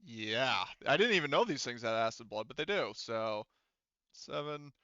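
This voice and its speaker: A man's voice: medium pitch, lively intonation, moderate pace, a bit bright, clear, resonant tone, dull audio. A man running a tabletop roleplaying game as game master, talking through rules and action in casual, sweary language. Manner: informal, swears freely.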